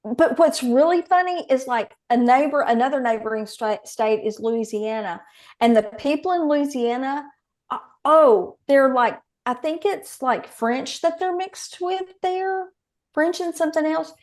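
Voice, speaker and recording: A woman's voice: high-pitched, unhurried, a little mellow. A woman from Texas with a Southern accent, chatting casually on a video call, rambling and friendly. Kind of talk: casual group video chat.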